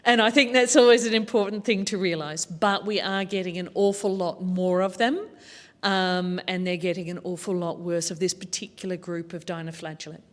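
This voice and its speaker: A woman's voice: on the low side, steady tone, moderate pace. A woman speaking as a panellist at a public talk, earnest and informed, with some fillers. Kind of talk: panel discussion answers. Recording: long hall reverb.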